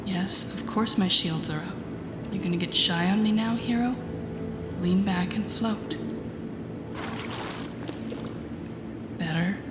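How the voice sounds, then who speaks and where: A woman playing a confident, teasing telepathic villain in an intimate audio roleplay, speaking softly and often near a whisper in a soothing, guiding way. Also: mid-range voice, normal speed, lightly acted. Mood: teasing, flirtatious. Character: teasing, confident female telepathic villain coaching a rookie hero. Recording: echoey indoor pool sound, music behind. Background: music.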